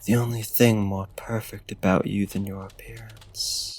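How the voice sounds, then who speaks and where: A man playing a suave, predatory ancient vampire in an intimate ASMR roleplay, with formal archaic phrasing, deliberate pauses and breathy drops toward a whisper. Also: on the low side, flat delivery, darkish tone, lightly acted. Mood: smooth, seductive.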